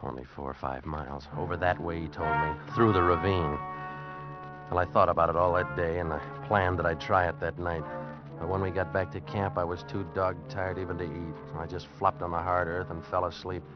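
A man's voice: sits low, steady tone, fairly quick, music in the background, natural voice. An actor playing a desperate American man on the run, telling and acting out his ordeal intensely in an old radio drama. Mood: grim narrating. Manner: intense, dramatic, agitated pleading. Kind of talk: 1940s-50s radio drama narration and acting. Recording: muffled old radio recording.

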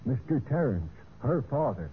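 A man's voice: mid-range voice, big pitch swings, moderate pace, phone-quality sound, natural voice. An actor playing a defensive, plain-spoken male servant under suspicion in a vintage radio mystery. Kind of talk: 1940s-50s radio mystery acting. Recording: noisy band-limited old radio recording.

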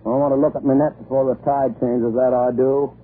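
A man's voice: mid-range voice, level tone, brisk, natural voice. An actor playing an indignant, stubborn working-class fisherman with blustering, folksy talk in old-time radio drama. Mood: grumbling. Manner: blustering, folksy dialect with inverted phrases. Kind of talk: 1930s-40s radio acting. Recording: noisy, tinny old radio recording.

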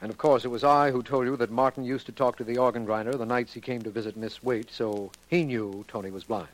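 Detective's earnest official colleague male actor, forties radio, American, medium pitch, matter-of-fact and inquiring. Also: steady tone, fairly quick, natural voice, low-quality recording. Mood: curious, satisfied. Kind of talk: 1940s radio drama acting. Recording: vintage 1940s radio recording.

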